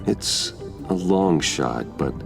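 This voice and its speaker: An actor playing a brooding, guarded, determined vigilante hero in a video game, speaking in a serious, restrained way. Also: male voice, a bass voice, expressive, slow pace, gravelly, full character voice. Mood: calm, determined. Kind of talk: dramatic video game voice acting. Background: background music.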